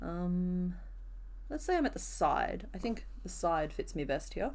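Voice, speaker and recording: Woman host, crafting live stream, voice a bit hoarse today, chatty and easygoing with her chat. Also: lowish voice, very animated, measured pace, a little mellow. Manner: chatty, answers viewers' comments as she works. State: rough, hoarse voice. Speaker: crochet streamer. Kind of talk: casual live-stream chat while crocheting.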